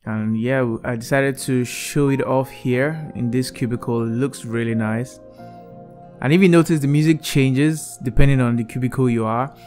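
A young man talks through his virtual art gallery in an easygoing way, often calling things "really cool." His pitch sits at an ordinary middle level. Quiet music plays faintly behind him, and he is recorded indoors on earbuds. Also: moderate pace. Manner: relaxed, enthusiastic, repeats "really cool". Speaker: YouTube creator. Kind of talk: casual vlog walkthrough. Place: indoor room, wearing VR headset. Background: soft background music.

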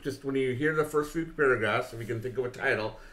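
A middle-aged man hosting a casual livestream, talking in a jokey, animated way. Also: medium pitch, quick pace. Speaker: streamer and host. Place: home room, indoors, at a desk.

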